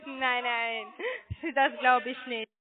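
High-voiced woman on a tinny phone line. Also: level tone, crowd noise. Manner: halting, apologetic. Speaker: call center agent. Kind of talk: halting sales phone call.

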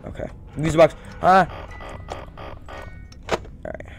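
Slow-paced young man muttering over a game. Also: on the high side, wildly swooping pitch, vocal fry. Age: young adult. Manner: muttering to himself, repeating phrases. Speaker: gaming YouTuber. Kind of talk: casual let's-play commentary. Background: game music.

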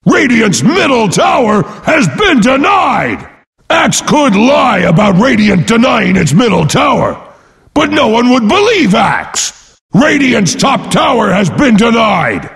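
A boastful brute warrior announces a video game in a high, gravelly bellow. He is pompous and brash, swinging wildly in pitch, with a long reverb tail on his voice. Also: very animated, slow, fairly bright tone, raised voice, heavily acted. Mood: indignant. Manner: pompous, speaks of himself in third person.

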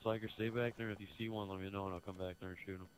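A man's voice: sits low, little pitch movement, racing, slight background noise, poor audio. A young man in casual in-game voice chat, directing his teammates as a player in an online game.

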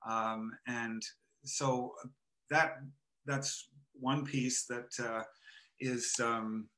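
An older man from Montreal, a photographer and artist, talking in a hesitant, reflective way with many pauses and restarts, on a headset over a video call from home. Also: mid-range voice, steady tone, pushed and bright, rough recording. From Montreal, Canada. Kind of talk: casual interview conversation. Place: home room with framed photo, indoors.

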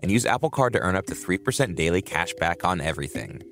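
A man's voice: low-pitched, very animated, quick pace, creaky. A man reading a scripted promotional announcement for podcasts. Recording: music bed behind voice.

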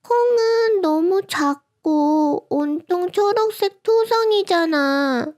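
A woman's voice: very high-pitched, animated, slow, a little mellow, pitched above the performer's own voice, exaggerated voice. A woman voicing a picky little girl in a storybook reading, insisting in a whiny voice on all the foods she refuses. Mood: stubborn.

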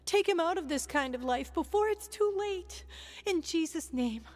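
A woman's voice: extremely high pitch, animated, normal speed. An actress playing an anguished, devout mother praying for her boy in a radio drama, pleading earnestly.

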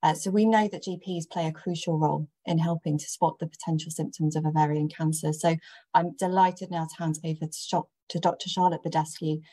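Female webinar host; low, husky, mellow tone, introduces speakers. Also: steady tone, a little fast, poor audio. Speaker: event host. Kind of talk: webinar hosting.

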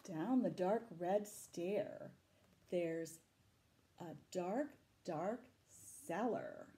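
A middle-aged woman reading a picture book aloud to children, dramatic and deliberate, adding asides and questions for her young listeners. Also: on the low side, lively intonation, dark tone. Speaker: library storytime reader. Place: small room indoors. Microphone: camera a short distance away.

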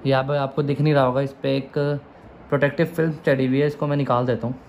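A young man from India talks his viewers through a camera unboxing, chatty and eager. His pitch stays fairly flat even when he is excited. He is recorded at home by a camera on a tripod, with a faint hiss behind him. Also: fast talker, vocal fry. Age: young adult. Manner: chatty, excited about gadgets, explains step by step. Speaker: vlogger. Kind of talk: casual unboxing vlog. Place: room at home, indoors.

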